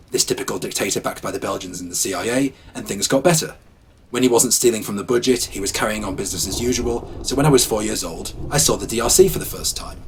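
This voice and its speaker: A man telling first-person scary stories as scripted narration, hushed and ominous at times, livelier at others. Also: medium pitch, slight background noise. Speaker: storyteller. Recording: faint rain ambience behind.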